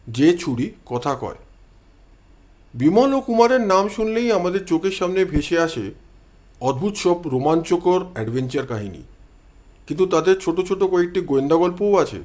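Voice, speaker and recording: High-pitched male storyteller. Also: darkish tone. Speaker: audio story narrator and channel host. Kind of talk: dramatised scripted storytelling.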